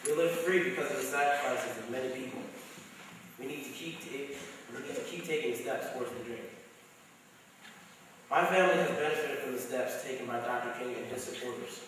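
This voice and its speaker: A man's voice: mid-range voice, a little fast, fairly bright tone, lightly acted. A young man, a student reader, playing men who tell their family's life story in a scripted dramatic reading. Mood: plain, earnest. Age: young adult. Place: large school chapel. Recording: echoey hall, distant mic, faint noise.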